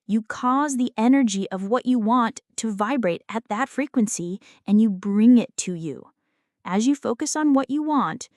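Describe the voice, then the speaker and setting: A woman narrates an audiobook at a medium pitch, with each phrase measured and clearly enunciated. The delivery is explanatory and scripted, and the sound is very dry, with no room around it. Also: darkish tone, dry recording.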